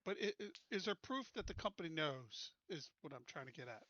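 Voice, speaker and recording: A man's voice: a little high, lively intonation, brisk, sharp tone, no echo, muffled recording. A man speaking casually as a player in a tabletop roleplaying game, asking questions.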